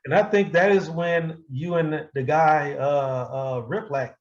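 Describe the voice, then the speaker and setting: An American man telling stories casually in an interview, profane and quick to laugh. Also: lo-fi sound. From Milwaukee, United States. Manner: animated, profane, breaks often into high-pitched laughter. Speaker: hip-hop artist being interviewed. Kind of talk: casual anecdotal storytelling.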